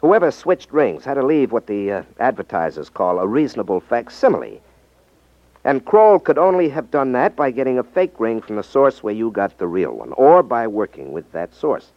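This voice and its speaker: A confident detective from a 1950s radio mystery. He is firm, wry and very much in charge, with animated inflection. The sound is close and dry, with the dullness of an old broadcast. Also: mid-range voice, normal speed, no room sound. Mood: explaining. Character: confident, cool-headed male detective-magician. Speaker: radio drama actor, lead. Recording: muffled 1950s radio recording.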